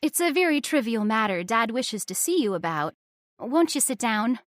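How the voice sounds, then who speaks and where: Woman playing a high-voiced, polite American daughter. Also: very animated, moderate pace, dry recording, clean studio sound. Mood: polite, gracious. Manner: polite, formal, well-spoken. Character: polite, poised young American daughter, gently reproving. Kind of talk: old-time radio drama acting.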